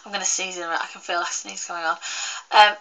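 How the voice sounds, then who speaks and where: Bright-voiced young woman, bunged up. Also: lowish voice, fairly even intonation, quick pace, low-quality recording. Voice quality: slightly croaky. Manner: rambling, many fillers and restarts. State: congested with a cold. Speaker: vlogger. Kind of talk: casual chat to camera.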